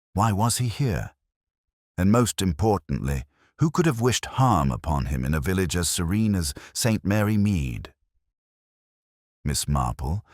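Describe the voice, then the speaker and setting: A man with an extremely low voice narrates a mystery story in measured, literary fashion. His pitch moves in lively swells and dips across each phrase. The recording is dry and close, with little sense of a room. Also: no room sound. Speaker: audiobook narrator.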